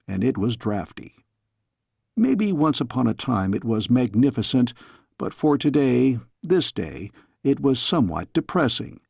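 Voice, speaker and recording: A man narrating a scripted story in a measured, expressive way, with a gravelly, husky voice. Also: mid-range voice, no echo, studio quality. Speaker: audiobook narrator.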